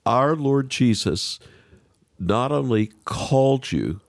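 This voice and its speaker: A man hosting a podcast, speaking deliberately and emphatically in interview and presenter style. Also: medium pitch, animated, vocal fry, dry recording, studio quality. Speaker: podcast host. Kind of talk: interview and scripted sign-off.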